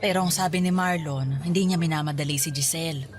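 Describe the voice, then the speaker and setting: A woman playing a warm, doting middle-aged mother with a Filipino accent in a radio drama. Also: low-pitched, quick pace, faint music behind, natural voice. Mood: chatty, fond.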